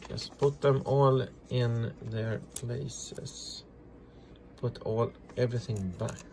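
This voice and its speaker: Slow-talking man giving a hands-on demo. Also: medium pitch, fairly even intonation, a little mellow, a little hiss or hum, poor audio. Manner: halting, simple non-native English phrasing. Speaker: repair tutorial presenter. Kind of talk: casual hands-on demonstration, narrating as they work.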